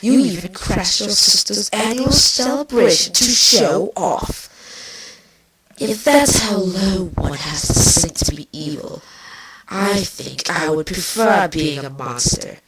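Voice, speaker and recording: A woman's voice: medium pitch, lively intonation, takes it slowly. A woman voicing a bitter, venomous changeling queen villainess with a biting, sardonic delivery in a fan fiction reading. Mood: scornful. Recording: distant mic, clearly noisy.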